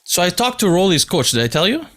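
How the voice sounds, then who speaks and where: A man's voice: high voice, sing-song, fast, fairly bright tone. A man with a slight Arabic accent, his first language Arabic, hosting a casual podcast chat over a video call, explaining at length in a chatty way. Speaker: podcast host.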